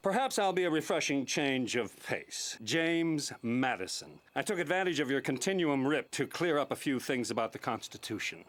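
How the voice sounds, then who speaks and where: An actor playing a pompous founding-father statesman in an animated comedy, declaring things emphatically and with certainty. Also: mid-range voice, normal speed, hardly any pauses. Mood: assertive, pompous.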